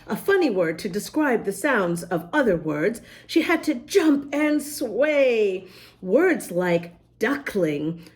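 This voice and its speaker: A woman reading a picture book aloud to young children, talking directly to them and carefully sounding out words. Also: medium pitch. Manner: animated, addresses young listeners, spells words out letter by letter. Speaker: children's story reader, host.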